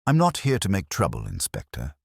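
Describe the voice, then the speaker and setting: A man voicing an insistent, brisk female newspaper reporter with a direct, businesslike manner in an audiobook mystery. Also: low voice, wildly swooping pitch, gravelly, slightly rough, dry recording, studio quality, heavily acted.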